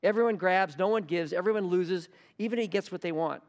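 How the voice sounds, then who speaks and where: A man's voice: sits high, quick pace, big-room sound. A man preaching a sermon as pastor, earnest, repeating key words for emphasis. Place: church hall.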